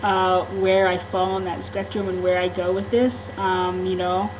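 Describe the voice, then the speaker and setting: A woman's voice: lowish voice, level tone, a little slow, background noise. A woman talking candidly in a casual vlog monologue, rambling, with frequent ums and restarts. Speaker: vlogger.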